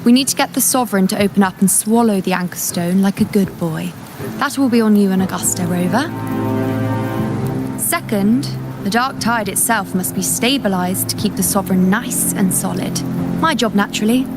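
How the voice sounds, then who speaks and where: A woman's voice: mid-range voice, moderate pace, natural voice. A woman playing a gifted young priestess in a video game, self-assured, teasing and theatrical, proud but caring. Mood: confident, teasing. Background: loud game music.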